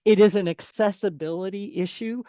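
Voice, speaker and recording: A woman's voice: medium pitch, normal speed, warm tone, no room sound. A woman taking part in a video-call Q&A, speaking bluntly and emphatically while telling stories from experience. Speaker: attendee asking during Q&A. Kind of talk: casual remarks in a Q&A. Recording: dull video call audio.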